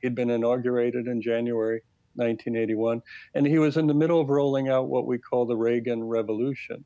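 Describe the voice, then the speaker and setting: A man speaking as a professor and interview guest, explaining history in a measured, academic way. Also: mid-range voice, fairly even intonation, warm tone, dry recording, dull audio.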